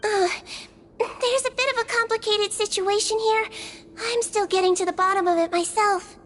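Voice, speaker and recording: A woman's voice: very high voice, steady tone, normal speed, noisy background. A woman voicing a small, childlike female character in video game dialogue. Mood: flustered, polite. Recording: loud music behind.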